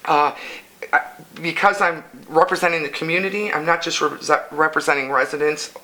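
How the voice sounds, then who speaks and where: Bright-voiced older woman from Illinois. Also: low voice, poor audio. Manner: earnest, with frequent restarts. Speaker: council candidate. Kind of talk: interview answers.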